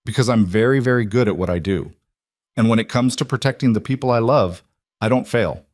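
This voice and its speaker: A man voicing a cold, determined mafia boss who is protective of his family, with intense, measured delivery in an audiobook. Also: mid-range voice, a little mellow, no echo, studio quality, lightly acted. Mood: confident. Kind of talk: audiobook character dialogue.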